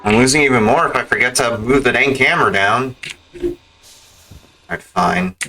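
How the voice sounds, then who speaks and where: Bright-voiced man casually commentating a speedrun. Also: mid-range voice, normal speed, soft background music, rough recording. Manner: self-critical, rambling. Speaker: speedrunner streamer.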